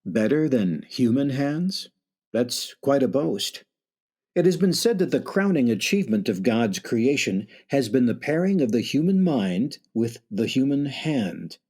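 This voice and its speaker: An actor playing a grandiloquent, ominous announcer, narrating an old-time style radio play with a husky voice. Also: male voice, mid-range voice, big pitch swings, a little slow, darkish tone, exaggerated voice. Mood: ominous, knowing.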